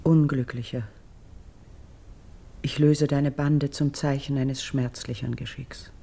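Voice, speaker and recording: An actress playing a grave, noble young priestess in a classical verse radio drama, speaking with solemn, measured dignity. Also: female voice, low-pitched, dark tone, breathy edge, exaggerated voice. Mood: grave, sorrowful.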